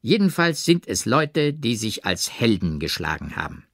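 A man's voice: mid-range voice, sing-song, moderate pace, fairly bright tone, dry recording, studio quality. A man voicing a gallant, plain-spoken old general, courteous and insistent, in an audiobook. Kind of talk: audiobook character reading.

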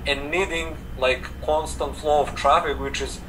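Serbian-accented young man, relaxed interview guest on a video call, mic set back, steady room hum. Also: a little high, measured pace. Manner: relaxed, frequent "like" fillers, gestures while talking. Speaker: guest, affiliate marketer. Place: home room indoors. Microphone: video call webcam. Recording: distant webcam mic with air conditioner hum.

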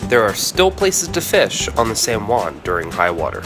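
A young man casually narrating his fishing trip, speaking quietly as he stalks fish by a lake. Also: wildly swooping pitch, normal speed, music in the background. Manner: hushed and low while stalking fish. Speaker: fishing vlogger. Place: outdoors by lake flats, partly in a car. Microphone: action camera on head or in hand.

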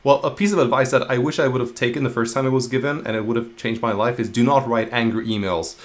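A man in his thirties from Hungary talks at a brisk clip, stumbling over restarts and repeated words as he explains his work. He speaks on a video call through an earphone mic from a room at home, in a mid-range voice. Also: mid-range voice, fairly even intonation. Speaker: guest, engineering manager. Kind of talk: casual interview talk. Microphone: earphone headset mic.

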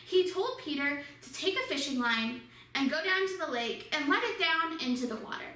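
Bright, animated woman teaching kids. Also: high-pitched, normal speed. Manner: animated storytelling, addressing children directly. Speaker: children's church teacher. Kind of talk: Bible lesson for kids. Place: small studio with red backdrop. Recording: echoey room, voice from some distance.

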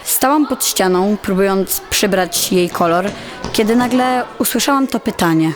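A young woman acts a shy, insecure high-school girl narrating an audio drama. She speaks in a confiding, introspective way at a medium pitch. Music plays clearly under her voice. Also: animated, normal speed, natural voice. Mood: reflective. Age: teenager.

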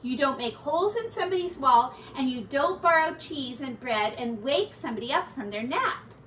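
A woman's voice: high voice, lively intonation, normal speed, a bit bright. A middle-aged woman voicing a fussy, exasperated male mouse in a storybook, stern and indignant. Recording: roomy, distant camera mic, faint noise.

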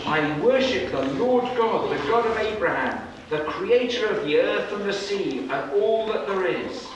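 A man's voice: sits high, expressive, measured pace, highs cut off, a crowd in the background, pitched above the performer's own voice. A man voicing a guilty, resigned runaway in a Bible storybook, earnest and declaiming. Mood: confessing. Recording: echoey, noisy room, recorded from far off.

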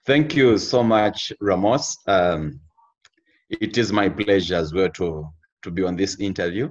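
A man's voice: sits low, unhurried, muffled recording. A young man from Zambia with a Zambian accent, talking formally and steadily on a video call interview, often repeating his key phrases. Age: young adult. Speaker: interview guest. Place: indoor room with exposed roof beams.

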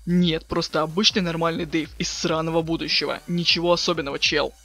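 A sardonic, slangy teenage boy voiced by a man from a webcomic dub. He rattles lines off quickly in a highish, sharp voice. He is impatient and too cool to care. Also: flat delivery, vocal fry, faint music behind, exaggerated voice. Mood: offhand. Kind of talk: dramatic reading of a webcomic chat.

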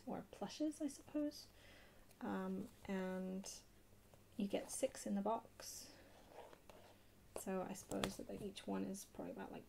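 A woman chatting casually in a soft, hushed, partly whispered ASMR style as she hosts a haul video. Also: mid-range voice, gravelly, a little hiss or hum, rough recording. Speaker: video host. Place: indoors at a table.

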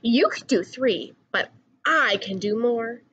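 High-pitched, animated young woman reading aloud. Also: slow, muffled recording, slight background noise. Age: young adult. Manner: animated, singsong rhyming delivery. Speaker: children's story reader. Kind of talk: expressive picture book read-aloud.

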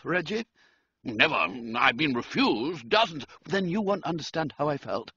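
A nervous young gentleman babbles in a high, jittery voice that climbs and swoops, as a storyteller acts him out. He talks in frantic rushes, all nerves, and the sound is dull and lo-fi, like an old audiobook. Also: husky, heavily acted. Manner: frantic, rambling and breathless.

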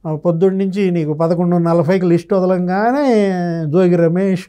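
Casting: male pundit in his fifties, high-set but soft-edged voice, storytelling delivery. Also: fairly even intonation, normal speed, smooth. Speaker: senior journalist, guest analyst. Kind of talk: conversational political commentary. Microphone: lapel mic.

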